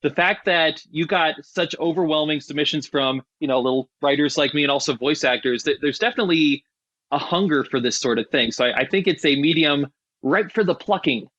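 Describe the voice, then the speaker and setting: Guy with a slightly high voice chatting on a podcast, no room echo at all. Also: a little fast, fairly bright tone, dull audio. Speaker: podcast panelist. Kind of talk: casual discussion.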